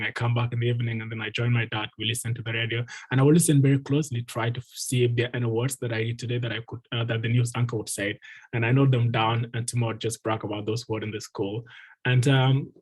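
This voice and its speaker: A man's voice: mid-range voice, level tone, quick pace, slightly rough, poor audio. A man in his twenties from Ethiopia, a guest speaker and refugee advocate, telling his life story earnestly on a video call with many ums and uhs. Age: 20s. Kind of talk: personal story told at an online meeting.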